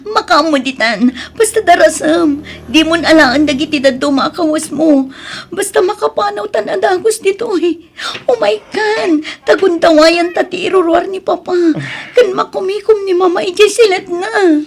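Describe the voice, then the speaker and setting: High-voiced, pleading Ilocano daughter. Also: female voice, moderate pace. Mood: urgent, distressed. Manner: pleading, emotional. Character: tearful, pleading young daughter in love with the driver. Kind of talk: Ilocano radio drama acting. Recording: noisy background ambience.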